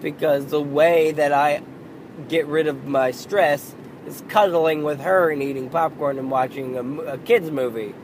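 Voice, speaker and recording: A man in his thirties talks slowly and haltingly, hoarse and croaking as his voice gives out. He rambles through a casual solo podcast and swears under his breath. Traffic noise clearly runs behind him. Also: a little high, steady tone, poor audio. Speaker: podcast host.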